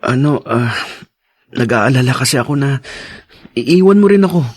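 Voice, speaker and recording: A man playing a guilty, nervous young husband in a radio drama, stammering hesitantly in a husky voice. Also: medium pitch, lively intonation, moderate pace, a little mellow, lightly acted. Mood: guilty, confessing. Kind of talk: Tagalog radio drama acting.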